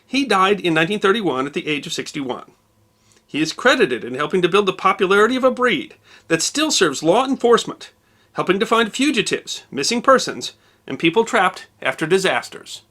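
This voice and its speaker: A man's voice: sits high, very animated, fairly quick, pushed and bright. A man hosting a history channel, giving scripted narration as he tells the story with wry emphasis.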